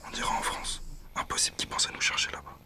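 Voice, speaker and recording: An actor playing a determined young prisoner, a bossy older brother who whispers urgently and secretively in an audio drama. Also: male voice, quick pace, close to an everyday voice. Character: determined twenty-year-old prisoner, bossy older brother plotting escape.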